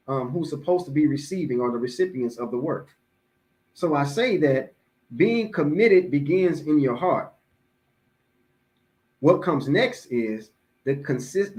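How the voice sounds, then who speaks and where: Deliberate middle-aged male preacher. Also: a little high, a little mellow. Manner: deliberate, emphatic, repeats key phrases. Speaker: imam. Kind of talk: religious public address, motivational teaching.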